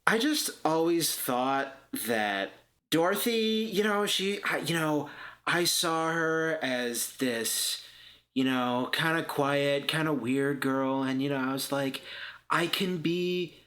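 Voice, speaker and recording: A man voicing a self-satisfied, condescending young woman who talks in a halting, patronizing way in a tabletop role-playing game. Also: on the high side, fairly bright tone. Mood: smugly sympathetic.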